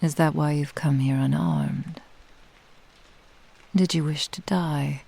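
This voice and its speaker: A woman playing a possessive, doting fox-spirit witch in an intimate ASMR roleplay, teasing in formal old-fashioned phrasing and often dropping into breathy whispers. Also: sits low, fairly even intonation, slow, dark tone, heavily acted. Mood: amused, condescending. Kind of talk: ASMR roleplay, intimate scripted monologue to the listener.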